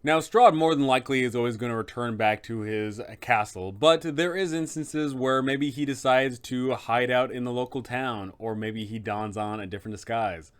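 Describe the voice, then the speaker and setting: A man's voice: medium pitch, moderate pace, few pauses, clear, resonant tone. A man hosting an informal, explanatory guide for game masters, emphatic and opinionated in how he talks. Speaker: tabletop game advice host.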